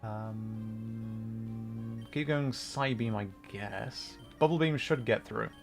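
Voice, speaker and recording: A man's voice: fairly low pitch, level tone, very slow. Man livestreaming over background music. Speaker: livestream host, VTuber gamer. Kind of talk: casual chat mixed with game commentary.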